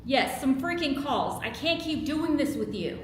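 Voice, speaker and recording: Woman acting exasperated, distraught wife, lively high voice. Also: measured pace. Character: distraught, angry wife confronting her lying husband. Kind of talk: table read of a screenplay. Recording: echoey room with clear background noise.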